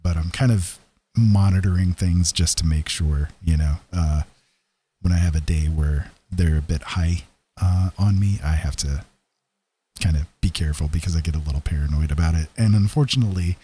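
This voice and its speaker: Deep, dark-voiced man chatting casually on stream. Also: slight background noise. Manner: easygoing, rambling, halting with frequent pauses. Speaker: live streamer, digital painter and storyteller. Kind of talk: casual chat with live stream viewers.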